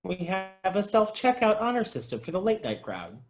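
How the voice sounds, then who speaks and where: Man playing an excitable, lively-pitched nice guy. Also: normal speed, faint music behind, exaggerated voice. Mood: flustered. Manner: expressive, animated. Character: excitable, kindly man, exasperated but reassuring. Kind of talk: comedy script table read.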